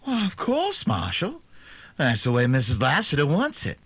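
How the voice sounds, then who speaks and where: A man playing a sly, gloating outlaw killer in a western radio drama, mocking and menacing, with a husky, gravelly voice. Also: fairly high pitch, wildly swooping pitch, normal speed, a bit bright, full character voice.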